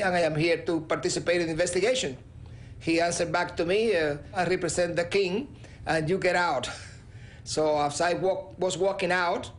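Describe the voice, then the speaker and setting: High-voiced Cuban-born investigator recalling. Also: male voice. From Cuba. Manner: animated storytelling, quoting others. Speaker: air crash investigator. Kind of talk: interview recollection.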